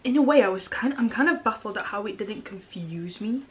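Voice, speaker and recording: A woman's voice: medium pitch, lively intonation, brisk, lo-fi sound. Rambling young woman. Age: young adult. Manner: rambling, many "um", "like", "kind of" fillers. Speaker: vlogger, older sister. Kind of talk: casual chat while eating.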